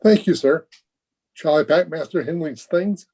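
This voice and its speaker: A man playing a deferential household servant with a rough voice in an old-time radio drama. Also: on the high side, measured pace, a little mellow. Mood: subservient, hesitant.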